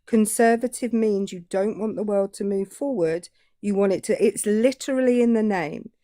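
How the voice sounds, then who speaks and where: A woman's voice: mid-range voice, moderate pace, mellow, dry recording. British woman, sarcastic and cutting, keeps interrupting, dry close sound. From Britain. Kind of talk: heated political debate.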